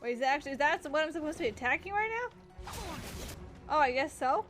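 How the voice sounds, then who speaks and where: A woman with a high voice thinks aloud as she plays a game. Her commentary is casual and rambling, full of reactions, with faint music behind her. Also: expressive, fast talker, a bit bright. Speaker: gamer streaming a playthrough.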